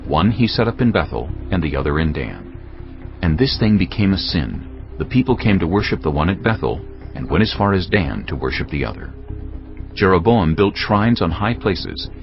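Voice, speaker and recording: A man with a very low voice narrates scripture as solemn drama. His pitch moves expressively, and clear background music sits under a slightly dull recording. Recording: muffled audio under prominent music.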